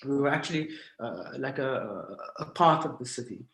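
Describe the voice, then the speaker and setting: A man's voice: mid-range voice, lively intonation, normal speed, a bit bright. South African man in an echoey room. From Cape Town, South Africa. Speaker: guest artist sharing stories. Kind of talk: informal storytelling presentation. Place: indoors at home, on a video call. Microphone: video call microphone. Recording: roomy, echoing video call audio.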